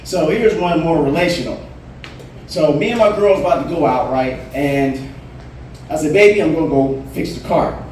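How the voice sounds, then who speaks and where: A man's voice: on the high side, normal speed, a little mellow, recorded from a distance, a little hiss or hum. A man in his late forties doing a stand-up comedy set in a bar, telling stories in a laid-back way with punchlines. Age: 40s. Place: bar stage. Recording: roomy bar, voice from afar.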